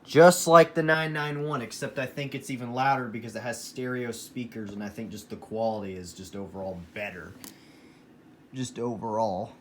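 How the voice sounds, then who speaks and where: A man from the United States giving a casual, enthusiastic show-and-tell as a hobby collector, talking in halting phrases with emphatic bursts. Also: mid-range voice, steady tone. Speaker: hobbyist collector presenting his weather radios. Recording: noisy room, clear background noise.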